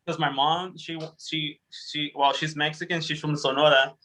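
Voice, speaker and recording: Young man in his twenties, native Spanish speaker, somewhat high and bright voice, rambling with fillers, video call. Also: fairly even intonation, normal speed, rough recording. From Arizona, United States. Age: 20s. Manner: rambling, full of fillers and self-corrections. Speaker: guest speaker. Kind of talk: casual conversational answers.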